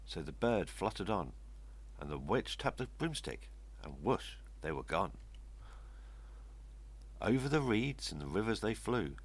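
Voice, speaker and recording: An older man with a gravelly, husky voice reading a rhyming children's story expressively. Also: fairly low pitch, very animated, measured pace, pauses often. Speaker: story reader. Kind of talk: expressive read-aloud children's storytelling in rhyme.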